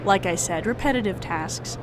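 A woman playing a brisk, folksy, matter-of-fact receptionist at a care center in an audio drama. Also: close to an everyday voice. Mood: brisk, helpful. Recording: clear background rumble and noise.

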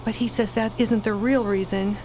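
A woman's voice: mid-range voice, steady tone, moderate pace, darkish tone, slight background noise, lightly acted, rough recording. A woman playing a tired, earnest, exasperated wife in a radio play, passing on what her child says.

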